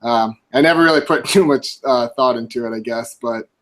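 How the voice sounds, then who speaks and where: A man's voice: medium pitch, brisk, a bit bright. A man in his twenties, a blogger guesting on a podcast, talking casually, laughing easily and saying "like" a lot. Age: 20s. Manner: chatty, laughs easily, lots of "like".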